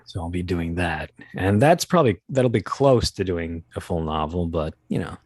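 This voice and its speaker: A man speaking casually as an interview guest, talking about his creative work in a halting, think-aloud way. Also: low-pitched, expressive, a little mellow, husky, dry recording, studio quality. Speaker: guest, audio drama producer and voice actor.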